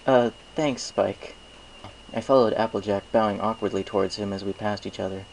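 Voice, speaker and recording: A man's voice: lowish voice, flat delivery, fast, gravelly, a little mellow, slight background noise, poor audio. A man reading a scripted first-person story aloud as an amateur narrator.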